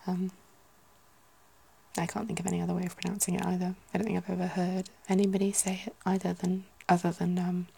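A woman's voice: lowish voice, little pitch movement, a little fast, creaky, dark tone, slight background noise, rough recording. Whispering British woman in her thirties. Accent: British English. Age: 30s. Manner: whispering, musing, self-correcting. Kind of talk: whispered ASMR chat.

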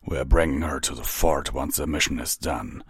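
A man voicing a stern, calm pony soldier who speaks with measured authority in a fantasy story. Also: a bass voice, slightly rough, no room sound, studio quality, pitched below the performer's own voice, full character voice. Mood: measured, firm.

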